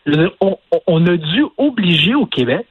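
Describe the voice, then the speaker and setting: Husky-voiced Quebec French man, measured. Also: high voice, lively intonation, no echo, narrow, tinny audio. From Portugal. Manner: measured, hedging, many filler restarts. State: recovering from illness. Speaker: interview guest, former political adviser. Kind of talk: phone-in news interview.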